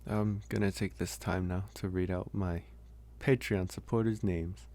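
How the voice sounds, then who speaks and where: A man's voice: sits low, steady tone, a little slow, a little mellow. A man who voice acts, talking casually to his listeners as host in an out-of-character outro. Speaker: voice actor and channel host.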